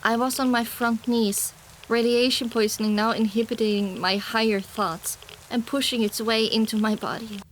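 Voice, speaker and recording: A woman's voice: a little high, steady tone, moderate pace, slight background noise, low-quality recording. A woman reading dramatic first-person scripted narration for an audiobook.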